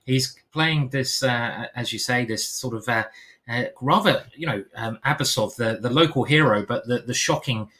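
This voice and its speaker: Bright-voiced English man commentating chess. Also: mid-range voice, normal speed. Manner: playful, chatty, many fillers and restarts.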